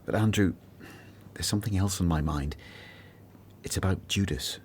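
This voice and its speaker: A man playing an earnest, conscientious disciple in a radio drama, speaking soberly with gentle reproof. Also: low-pitched, moderate pace, a little hiss or hum, close to an everyday voice. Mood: concerned.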